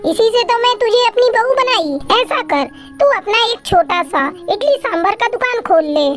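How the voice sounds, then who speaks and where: Very high-pitched scheming mother-in-law sparrow played by a woman. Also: animated, normal speed. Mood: advising. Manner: advising, addresses her daughter-in-law familiarly. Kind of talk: Hindi children's cartoon acting.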